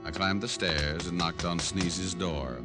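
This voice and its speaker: An American actor playing a wry, tough private detective, narrating and wisecracking in a vintage radio noir drama. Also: male voice, sits low, steady tone, unhurried. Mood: wry, determined. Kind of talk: 1940s-50s radio noir acting, first-person narration. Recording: vintage radio recording with music behind.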